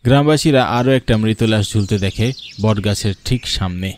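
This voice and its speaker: A man's voice: on the low side, normal speed, a little mellow, a little hiss or hum. A man narrating a scripted horror cartoon story in a dramatic storytelling style.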